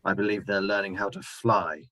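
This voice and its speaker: A hardened ex-soldier living rough after the invasion, played by a man. He talks in a brooding, grim way, circling back to his plan with growing fervor. The sound is dry and close, with no room around it. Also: low-pitched, steady tone, moderate pace, sharp tone, dry recording. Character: hardened male ex-soldier survivor, scheming and grim. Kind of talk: amateur radio drama acting. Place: home room, recorded remotely.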